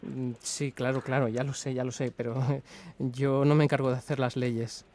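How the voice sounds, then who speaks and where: A man's voice: medium pitch, flat delivery, moderate pace, darkish tone, natural voice. A man playing a polite, apologetic traffic official on a prank call, with an accent from Spain and a hesitant, formal manner. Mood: placating. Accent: Spanish from Spain. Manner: hesitant, courteous, official-sounding. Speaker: radio prank caller. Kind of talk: improvised prank phone call in a formal register.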